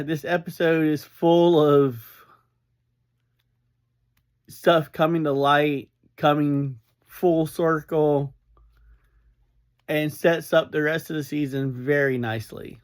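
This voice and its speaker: A man with a slightly high voice talks very slowly through a casual fan review. His phrasing is halting, broken up by pauses, like someone explaining things off the cuff to listeners. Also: fairly even intonation. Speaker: podcast reviewer.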